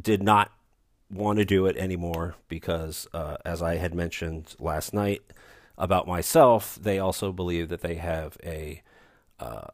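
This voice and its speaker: A man with a very low, soothing voice hosts a casual solo podcast, drifting from one aside to the next with dry, self-mocking humor. His speech is relaxed and meandering. The sound is close and dry, with almost no room around it. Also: no room sound. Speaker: podcast host. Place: recording remote, away from studio.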